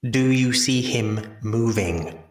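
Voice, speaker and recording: An actor playing a genial, teasing Victorian doctor with a courteous, slightly formal manner, recorded at a distance in a roomy space. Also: male voice, mid-range voice, takes it slowly, faint music behind, close to an everyday voice. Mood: keen, explanatory. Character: male Victorian hospital doctor, genial, teasing, enthusiastic anatomist. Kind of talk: audio drama acting.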